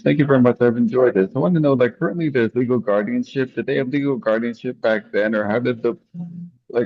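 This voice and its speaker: Fast-talking man on dull lo-fi audio. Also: mid-range voice, no room sound. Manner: polite, informal, rephrasing as he goes. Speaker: audience participant asking a question. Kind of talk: casual spoken question. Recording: dull, lo-fi online connection.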